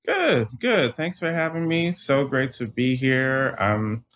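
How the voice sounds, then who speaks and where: A young adult man from southeastern Michigan, a guest author talking casually, relaxed and restarting often. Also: medium pitch, takes it slowly. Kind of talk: casual interview talk.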